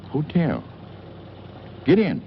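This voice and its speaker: An actor playing a plain-spoken small-town local in a 1940s film noir. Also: male voice, a little high, huge pitch swings, slow pace, gravelly, heavily acted. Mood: gruff small talk. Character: plain small-town local man giving a stranger a lift. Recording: muffled old film soundtrack with hiss.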